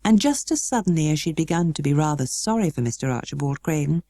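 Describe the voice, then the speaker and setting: A British woman reading a storybook as narrator, in a measured and expressive way. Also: low-pitched, dry recording. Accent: British English. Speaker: audiobook narrator.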